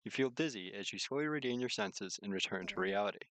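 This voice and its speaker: Male game streamer, lower register, close dry sound, narrating on-screen prose with offhand live commentary. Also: expressive, fast talker, a bit bright, dry recording. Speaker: streamer reading a visual novel aloud. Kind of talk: narrated read-aloud with casual live commentary.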